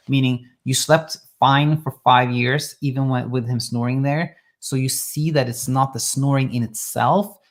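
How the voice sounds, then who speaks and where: A man from Sweden who coaches people on sleep, calmly reassuring as he answers listener questions live and thinks aloud with small restarts. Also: mid-range voice. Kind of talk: live Q&A advice talk.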